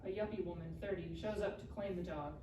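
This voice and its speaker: Female stage-direction reader for a live script reading, lower voice, distant from the mic. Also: fairly even intonation, quick pace. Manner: reads out clipped scene directions. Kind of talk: scripted narration at live table read. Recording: echoey room, distant mic, background noise.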